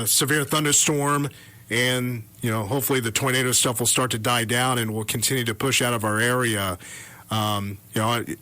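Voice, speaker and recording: A male radio co-host with a bright, pressed voice at an average pitch gives unscripted live storm commentary. He thinks aloud, often halting and correcting himself as he goes. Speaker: radio weather coverage co-host.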